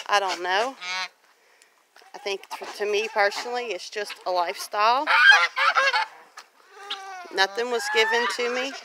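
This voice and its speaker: A woman from Louisiana talking casually and reflectively in a plain-spoken, rambling way, like a homestead vlogger on a walk. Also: medium pitch. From Louisiana, United States. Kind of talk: casual walk-and-talk monologue. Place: outdoors on a grassy farmyard. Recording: outdoor noise, farm animal sounds.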